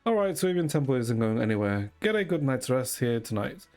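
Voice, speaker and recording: Male game streamer, medium pitch, reads on-screen dialogue aloud with casual asides over light game music. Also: very animated, fairly quick, darkish tone. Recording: game music faintly behind.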